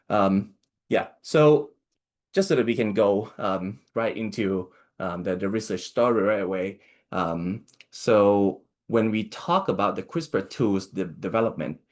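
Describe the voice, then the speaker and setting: A young man from Thailand, a postdoctoral researcher, giving a seminar talk in a halting way with many fillers and restarts. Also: low voice, darkish tone.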